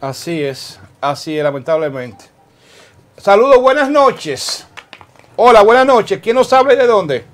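High-voiced Dominican male TV host. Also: sing-song. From Dominican Republic. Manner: brisk, emphatic, directs the crew on air. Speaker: TV talk show host taking calls. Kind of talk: call-in TV talk. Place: small TV studio set.

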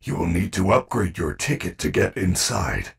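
A man voicing a gentle, earnest animatronic bear in a video game, speaking formally and carefully with few contractions. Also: sits low, normal speed, vocal fry, breathy edge, far from the mic, full character voice. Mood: helpful, friendly.

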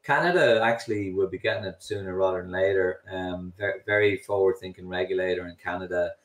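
A man's voice: deep voice, fairly quick. A middle-aged Irish man, a company founder, explaining his work in a video call interview, often restarting and repeating words. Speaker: drone delivery company founder, guest. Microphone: webcam and computer mic on a video call. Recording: roomy video call audio, slightly distant.

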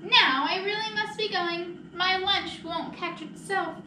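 A woman's voice: extremely high pitch, a little slow, pushed and bright, full character voice. A woman voicing a small, boastful, stubborn mouse in a picture-book read-aloud, cocky and insistent, in an echoey room. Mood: breezy, confident. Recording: echoey room, distant mic, noisy.

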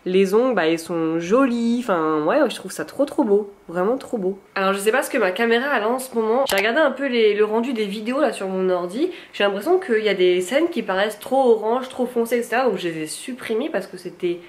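Young woman vlogger, cold-stuffed nose, chatty and filler-heavy, arm's-length camera in a small flat. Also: mid-range voice, a little fast, hardly any pauses. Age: young adult. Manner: chatty, lots of fillers and repeated words, enthusiastic. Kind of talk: casual vlog chat to camera. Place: small bright apartment living room, indoors. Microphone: handheld camera at arm's length.

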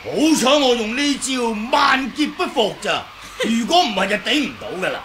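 Cocky, animated Huashan swordsman. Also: extremely high pitch, normal speed, exaggerated voice. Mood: flustered, teasing. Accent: Hong Kong Cantonese. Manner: bantering, mocking, exaggerated. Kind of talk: 1980s Cantonese radio drama acting. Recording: noisy old radio drama recording.

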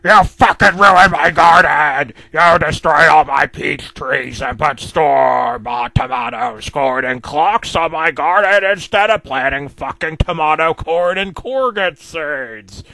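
A man's voice: fairly high pitch, extremely slow, vocal fry, hardly any pauses, no echo, full character voice. A man voicing a furious, foul-mouthed grown cartoon grump who rants insults at the top of his lungs. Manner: shouting, ranting insults.

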